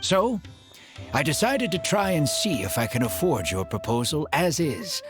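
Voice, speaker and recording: A man voicing an elderly, earnest desert dweller in a video game, speaking in a measured, gentle way over loud game music. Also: a little high, big pitch swings. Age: older.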